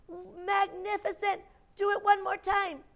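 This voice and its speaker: A woman voicing a clever young queen who flatters a dragon in a storybook. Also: high-pitched, normal speed. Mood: gushing.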